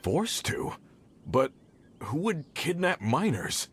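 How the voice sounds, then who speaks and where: An actor voicing a worried but practical mine boss in a video game, direct and businesslike. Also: male voice, a little high, sing-song, takes it slowly, a little hiss or hum. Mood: surprised.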